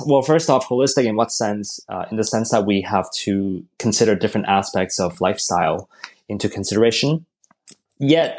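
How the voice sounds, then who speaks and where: A man from Hong Kong, a strength and conditioning coach, answering podcast interview questions in a thoughtful way, often restarting his words. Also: medium pitch.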